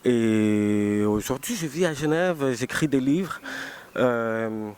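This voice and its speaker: A man speaking as a writer in an unscripted live interview, halting as he breaks up phrases and repeats words for emphasis. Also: mid-range voice, lively intonation, darkish tone. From Cameroon. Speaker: writer being interviewed at a book festival. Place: busy book festival venue. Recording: noisy event ambience. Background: event noise and ambience.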